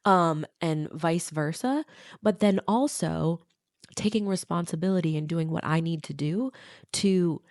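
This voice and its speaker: A woman with a deep, gravelly voice, nasal and congested, talks things through with her partner in a reflective, earnest way. The sound is dry and close, with no room around it. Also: measured pace, a little mellow, dry recording. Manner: reflective, earnest, laughs lightly. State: stuffed-up nose. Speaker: podcast co-host. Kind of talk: casual chat with partner.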